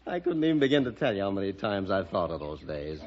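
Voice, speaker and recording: A man's voice: low voice, very animated, fast. An actor playing a genial, confident young small-town businessman with an American accent, chatty and easygoing, in a 1960s radio drama. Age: young adult. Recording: muffled, faintly noisy old radio recording.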